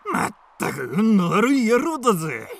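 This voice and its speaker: Creaky, high-voiced bandit henchman. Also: dry recording, exaggerated voice. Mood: mocking. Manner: rough, snickering, coarse speech. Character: sneering bandit henchman, crude and mocking. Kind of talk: radio drama acting.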